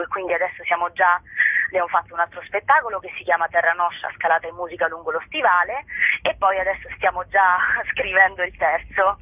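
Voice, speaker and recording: A woman's voice: on the low side, slightly rough, dry recording. A woman in her thirties, an author-actress, talking earnestly and at length in a casual interview over the phone. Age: 30s. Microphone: telephone. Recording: dry, narrow phone line.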